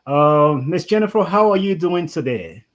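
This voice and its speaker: A man's voice: fairly high pitch, expressive, normal speed. A man hosting a conversational interview, rambling and often saying "you know". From Liberia. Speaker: talk show host.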